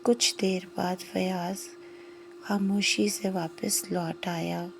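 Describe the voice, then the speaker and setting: A woman narrating a scripted moral story in short, measured phrases with pauses. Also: fairly low pitch, little pitch movement, gravelly, a little hiss or hum, poor audio.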